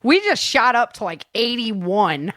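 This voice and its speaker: A woman's voice: fairly high pitch, sing-song, unhurried, a bit bright, no echo. Chatty woman hosting, close dry sound. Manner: chatty, laughs often, acts out little quotes. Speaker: audio drama producer and show host. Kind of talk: casual chat.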